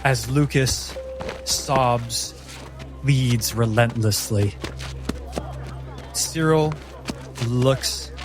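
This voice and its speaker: A male game master tells a dramatic fantasy story in a mid-range voice. He takes his time, leaving deliberate pauses between phrases, while music plays clearly behind him. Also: medium pitch. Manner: measured, deliberate, theatrical pauses. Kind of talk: dramatic narration of a tabletop roleplaying story.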